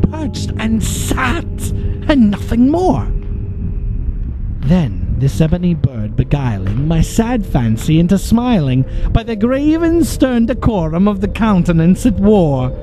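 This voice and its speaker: Male narrator, slightly high voice, theatrical poetry reading with hushed whispers. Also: poor audio. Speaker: narrator performing a poem. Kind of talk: dramatic poetry recitation. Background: music.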